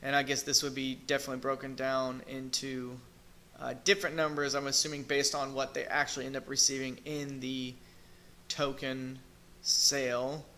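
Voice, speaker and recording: Male crypto vlogger, hoarse and fading, bright voice, hesitant, thinks out loud. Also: medium pitch, little pitch movement, moderate pace. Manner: hesitant, thinking aloud with fillers and restarts. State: losing his voice, clearing throat. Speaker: crypto video reviewer. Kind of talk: casual screen-share review.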